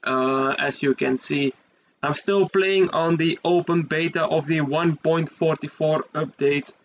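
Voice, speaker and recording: A man's voice: on the high side, steady tone, unhurried, muffled recording. A young man from Belgium with a Dutch accent, a native Dutch speaker, giving casual, hesitant gameplay commentary in English. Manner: plain, hesitant, with frequent fillers. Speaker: gaming YouTuber. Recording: game truck sounds underneath. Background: loud truck engine and road noise.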